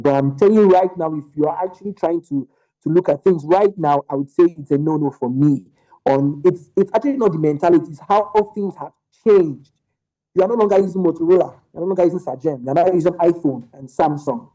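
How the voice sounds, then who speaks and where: A man's voice: fairly high pitch, warm tone, rough recording. A young man, arguing his point emphatically as a panel guest over a remote call, full of rhetorical questions. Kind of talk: opinionated panel discussion.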